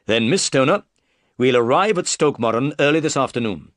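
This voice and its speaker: A man reading a calm, shrewd detective. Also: mid-range voice, moderate pace, dry recording, studio quality, voice pushed up, close to an everyday voice.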